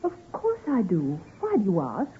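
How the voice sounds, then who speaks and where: An actress playing a devoted, distressed young fiancée with earnest, theatrical pleading in an old-time radio drama. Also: female voice, mid-range voice, wildly swooping pitch, normal speed, a little hiss or hum. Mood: tender. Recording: muffled, narrow-band old radio recording.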